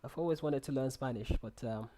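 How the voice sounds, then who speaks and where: A man's voice: mid-range voice, brisk, dark tone, a little hiss or hum. Chatty young man on a live stream. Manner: chatty, repeats words, reads and answers chat. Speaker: forex trading streamer. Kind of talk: casual live-stream chat. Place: small room indoors. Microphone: headset.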